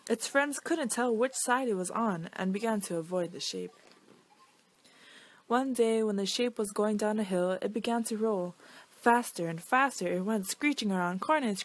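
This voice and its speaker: A woman reading a children's picture book aloud in an expressive storytelling style. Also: mid-range voice, no echo.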